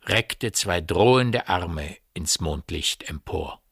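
A man narrating a literary radio play in a grave, measured storytelling manner. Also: low-pitched, animated, gravelly, a bit bright, breathy edge, no room sound, clean studio sound, heavily acted. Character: grave omniscient male narrator of a village mystery.

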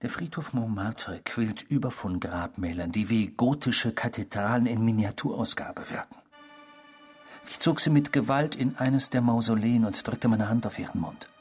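Husky, smug, remorseless male criminal. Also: on the low side, a little mellow. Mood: calm, unrepentant. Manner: self-satisfied, measured, provocative. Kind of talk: German radio drama acting.

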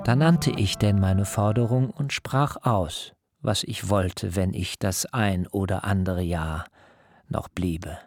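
Ein Schauspieler spielt in einem literarischen Hörspiel einen alternden, beharrlichen Hirten, der getragen und in altertümlichen Wendungen spricht. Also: male voice, deep voice, a little mellow, faint music behind. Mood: explaining. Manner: measured, solemn, archaic phrasing. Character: aging herdsman, long-serving nephew, deliberate and insistent. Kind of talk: literary radio drama acting.

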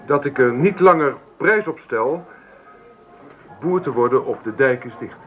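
An actor playing a stubborn young student son in a radio drama, halting and formal yet determined. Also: male voice, medium pitch, animated, faint music behind, close to an everyday voice. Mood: nervous, determined. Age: young adult. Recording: tinny old radio recording.

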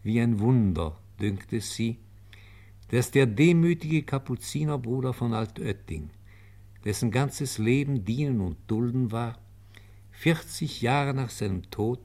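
A man narrating a radio play in a solemn, measured, reverent way. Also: mid-range voice, animated, a little mellow.

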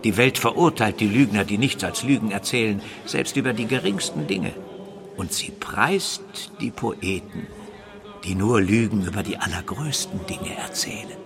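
An actor playing a shrewd, learned older bishop in a radio drama, speaking in a measured, didactic way. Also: male voice, lowish voice, a crowd in the background, natural voice. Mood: instructive.

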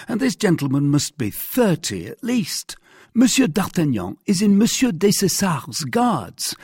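Man doing timid whiny husband in an audiobook, high voice, super lively, anxious n pleading, kinda husky. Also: dry recording, studio quality, full character voice. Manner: whining, protesting, over-earnest. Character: timid, pleading middle-class husband, anxious and eager to placate. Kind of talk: dramatized audiobook reading.

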